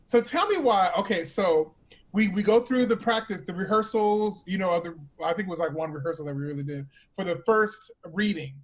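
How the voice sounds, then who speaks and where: A man with a notably high-pitched voice hosts an informal chat. He speaks emphatically and teasingly, often turning to address his listeners directly. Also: fairly quick, rough recording. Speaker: host.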